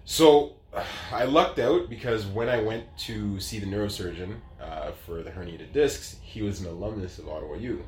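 A man's voice: on the low side, normal speed, hardly any pauses, darkish tone, reverberant, slight background noise. A man in his late thirties telling his story as an interview guest, casual and rambling, with lots of fillers. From Canada. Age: 30s.

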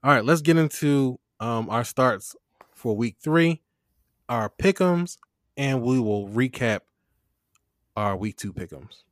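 A man's voice: medium pitch, expressive, takes it slowly, pauses often. A young man hosting a casual sports podcast, talking in a laid-back slangy way and laughing often. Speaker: podcast host. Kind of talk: casual fantasy football chat.

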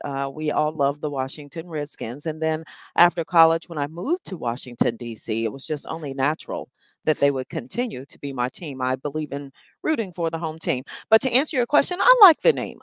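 A woman's voice: low-pitched, dry recording. A woman in her forties from North Carolina, a guest on a podcast, sounding stuffy from allergies and talking in an upbeat, assured way. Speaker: guest, traffic reporter. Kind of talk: casual interview chat.

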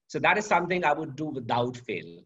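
A middle-aged man, a professor answering interview questions in a measured, storytelling way. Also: fairly high pitch, sing-song, a bit bright, husky. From India. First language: Sanskrit. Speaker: guest, professor. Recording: dull lo-fi audio.

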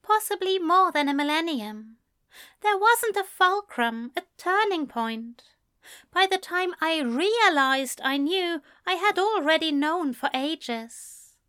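A woman voicing a gentle, prim male angel in love, speaking softly and carefully with a plaintive, formal manner. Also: very high voice, expressive, slow, dry recording, clean studio sound, voice pushed up, exaggerated voice. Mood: soft, wistful.

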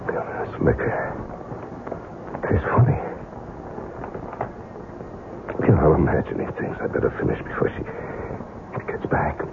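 An actor playing a wary, tough accomplice in a 1970s radio drama, terse and informal with a gravelly voice. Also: male voice, extremely low pitch, big pitch swings, normal speed, heavily acted. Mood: woozy, weakening. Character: wary, hard-drinking male accomplice in a murder plot. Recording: noisy, tinny vintage radio broadcast.

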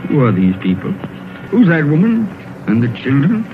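An actor playing an anxious, humbled old miser in a theatrical 1930s radio drama style. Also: male voice, a little high, huge pitch swings, normal speed, phone-quality sound. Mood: uneasy curiosity. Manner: theatrical, pleading. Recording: scratchy thin 1930s radio recording, music behind.

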